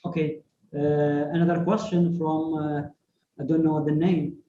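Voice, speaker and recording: A man's voice: on the high side, level tone, normal speed, recorded from a distance. A man moderating audience questions in a webinar. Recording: roomy, muffled, noisy online call.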